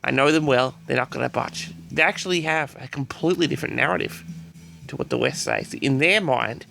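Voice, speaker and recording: Creaky-voiced man rambling through an audio log. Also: mid-range voice, a little fast, pushed and bright, soft background music. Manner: hesitant, repetitive, often addresses listeners directly. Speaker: host of a personal audio log. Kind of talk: rambling casual monologue to audience.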